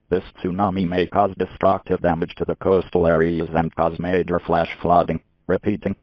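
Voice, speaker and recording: A man reading a scripted emergency warning as an alert announcer, in a stiff, formal, deliberate manner. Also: deep voice. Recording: dull lo-fi broadcast audio.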